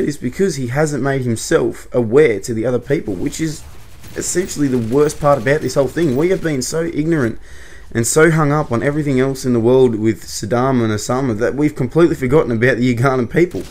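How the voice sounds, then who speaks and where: A young man talks to his camera in a loose, opinionated commentary. His voice sits at an ordinary middle pitch. He wanders off track, corrects himself and slips in small laughs. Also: a little fast, a little mellow. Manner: rambling and opinionated, self-correcting with short laughs. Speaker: YouTuber.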